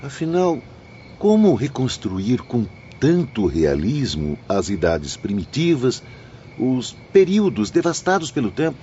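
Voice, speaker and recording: A man's voice: medium pitch, wildly swooping pitch, mellow. An actor playing a thoughtful male spirit student who speaks formally and questioningly in an audiobook drama. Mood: pondering. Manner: formal, pondering, measured. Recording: muffled, faint noise, nighttime crickets.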